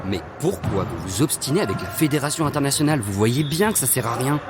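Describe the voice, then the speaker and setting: A man's voice: mid-range voice, expressive, fast talker, loud music behind the voice, lightly acted. A man playing a fed-up but hopeful athlete in a French audio drama, colloquial and insistent. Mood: casual, exasperated. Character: Raph, fed-up, hopeful athlete dreaming of escape. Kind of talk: naturalistic French audio fiction acting.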